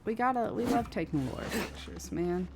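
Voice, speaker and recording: A woman's voice: mid-range voice, normal speed, noisy background. A woman game streamer chatting casually as she plays, rambling and thinking aloud. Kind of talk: casual livestream gameplay chat. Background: game music.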